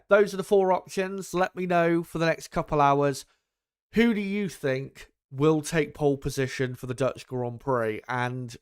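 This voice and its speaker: A young man hosts a live watchalong, chatting loosely and peppering his talk with ums and little asides to the audience. His voice sits at an everyday pitch but carries a slightly deeper tone than it once did. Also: smooth. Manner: rambling, frequent ums and asides to viewers. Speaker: livestream host. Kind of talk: casual live commentary chat.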